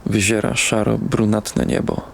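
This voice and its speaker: An actor plays a numb, troubled young man telling his own story in a radio play. His voice is low and full of gravelly fry, subdued and halting, in short first-person sentences. Ambient noise runs underneath. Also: level tone. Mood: numb, uneasy. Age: young adult.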